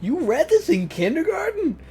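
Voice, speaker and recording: A man's voice: extremely high pitch, wildly swooping pitch, moderate pace, dark tone. A man hosting a let's play, reading a visual novel aloud theatrically and breaking off into mocking, exclaimed asides. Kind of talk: narrated game let's play with joking asides.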